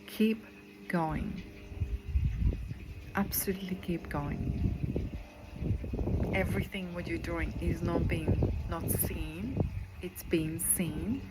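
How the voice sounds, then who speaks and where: A woman in her forties from Croatia, with a Croatian accent, giving an earnest motivational talk as a spiritual coach, repeating phrases for emphasis. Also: lowish voice. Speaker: spiritual coach and medical intuitive. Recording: noticeable background noise.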